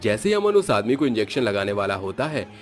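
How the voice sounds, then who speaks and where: नीची आवाज़ वाला पुरुष कथावाचक. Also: male voice, on the low side, very animated, fairly quick. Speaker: story narrator. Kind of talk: scripted Hindi cartoon horror story narration. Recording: faint music underneath.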